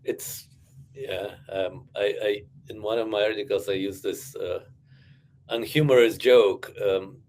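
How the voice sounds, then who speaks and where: A man's voice: lowish voice, steady tone, a little slow, darkish tone. A man from Ukraine whose first language is Russian, speaking earnestly in a casual panel discussion, with frequent restarts and emphatic claims. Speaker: podcast guest, academic.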